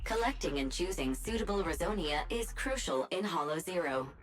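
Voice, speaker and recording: A woman's voice: lowish voice, animated, moderate pace, close to an everyday voice. An actress playing a dutiful female AI assistant in a video game, giving precise, clipped instructions. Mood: precise, helpful. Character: dutiful female AI assistant guiding her master.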